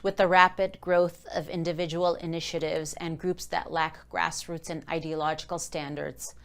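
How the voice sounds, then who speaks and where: Smooth, low-voiced woman interviewer. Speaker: interviewer, news program host. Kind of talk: formal scripted interview questions.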